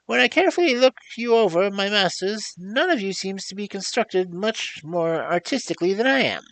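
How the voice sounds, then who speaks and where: Squeaky high gloomy creature, big wings, loud and mournful. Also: male voice, animated, normal speed, no room sound, poor audio. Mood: plaintive. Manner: plaintive, wondering. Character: squeaky-voiced huge winged patchwork creature, gloomy.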